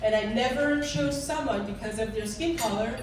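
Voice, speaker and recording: Korean-accented woman in her forties, guest speaker, earnest and halting, fairly high voice, distant mic in an echoey room with steady noise. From South Korea. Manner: earnest, halting speech with restarts. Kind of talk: speech to a club audience. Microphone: handheld mic. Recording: echoey room, recorded from far off.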